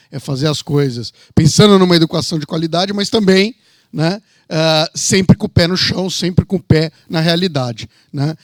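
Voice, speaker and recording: High-pitched São Paulo man presenting. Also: no room sound, clean studio sound. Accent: São Paulo state Brazilian. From São Paulo, Brazil. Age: 50s. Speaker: economist and university representative. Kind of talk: informal welcome presentation.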